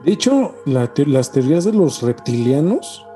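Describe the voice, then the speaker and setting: A man's voice: a little high, very animated, normal speed, mellow, faint music behind. A man with a Mexican accent co-hosting a podcast, talking casually in slang and often tagging questions onto his statements. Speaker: podcast co-host. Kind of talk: casual conspiracy podcast chat.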